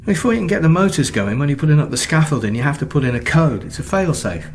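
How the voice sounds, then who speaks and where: A distracted, harried husband in a naturalistic radio play. His fairly high voice swings up and down in a lively way as he apologises and hesitates. The room rings around him, with noise underneath. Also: fast talker, roomy sound. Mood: agitated, stressed. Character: harried, distracted husband juggling work calls and house problems. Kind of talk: naturalistic radio drama acting.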